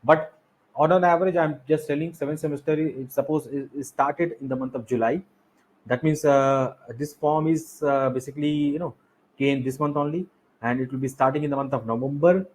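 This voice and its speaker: A man's voice: on the high side, fairly even intonation, fairly quick, darkish tone, rough recording. A man with an Indian accent explaining slides as a coaching instructor, repeating key points and asking rhetorical questions. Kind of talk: explanatory lecture over slides.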